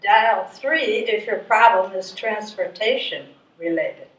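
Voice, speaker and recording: A woman's voice: mid-range voice, expressive, slow. A woman playing a formal automated phone greeting in a live readers theatre reading. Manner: formal announcement delivery. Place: live stage reading in a room. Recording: echoey room, distant microphone.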